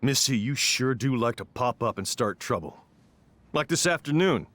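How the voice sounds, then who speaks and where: A man's voice: medium pitch, expressive, normal speed, fairly bright tone, natural voice. An actor playing a stern, suspicious middle-aged ex-soldier stepfather in a video game, accusatory and authoritarian. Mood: threatening.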